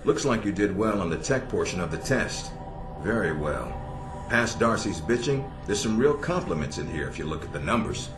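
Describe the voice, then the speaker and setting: Gruff commander in a video game, deep voice, authoritative n plain spoken, music behind. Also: male voice, very animated, moderate pace, roomy sound. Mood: measured approval.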